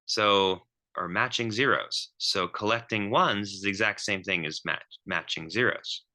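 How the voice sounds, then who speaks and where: Man, low pitch, sharp bright tone, math lecture, thinking aloud, fillers and self-corrections. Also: unhurried. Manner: thinking aloud with many ums and restarts.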